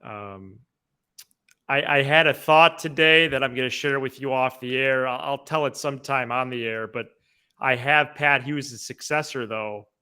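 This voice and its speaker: A man's voice: mid-range voice, unhurried, pushed and bright, clear, resonant tone. A man hosting a sports podcast, giving casual commentary in a conversational way.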